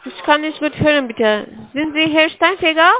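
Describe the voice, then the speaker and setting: A woman making a scam phone call, reading a halting, scripted pitch. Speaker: scam caller.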